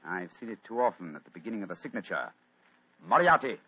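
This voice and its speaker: A man's voice: fairly low pitch, big pitch swings, quick pace, husky. An actor voicing a brilliant, wry detective in a 1940s radio play, dry and theatrical in his delivery. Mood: intent. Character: brilliant, wry male detective, sharp and amused. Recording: dull lo-fi old radio recording.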